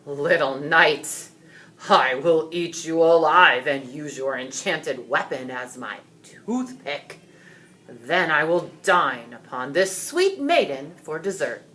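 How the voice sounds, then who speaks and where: A woman voicing a huge, menacing male dragon in a storybook, cold and booming, taunting slowly. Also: animated, a bit bright, a little hiss or hum, full character voice, poor audio. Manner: slow, relishing, taunting delivery.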